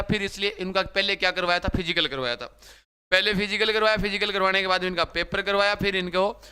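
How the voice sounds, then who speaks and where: A young man teaching exam students live. His voice is slightly hoarse, and he talks repetitively, often calling viewers brother. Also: high voice, pushed and bright. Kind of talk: live-stream class talk.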